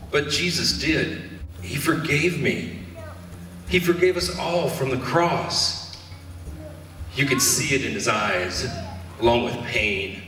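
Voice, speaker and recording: A distant, reverberant hall carries a man acting as a remorseful Roman soldier. He confesses earnestly and haltingly in a bright, forceful voice, as in an Easter stage play. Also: mid-range voice, slight background noise. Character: shaken, remorseful Roman guard officer. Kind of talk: church stage Easter drama monologue. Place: church hall stage performance. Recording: echoey hall, distant mic, faint music.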